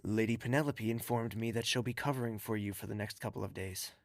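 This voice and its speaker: An actor playing a gentle, protective, courtly confidant, speaking softly and earnestly with formal manners in an audio drama. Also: male voice, on the low side, steady tone, fast talker, vocal fry, no room sound. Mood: courtly, coaxing. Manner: soft, earnest, reassuring, formal.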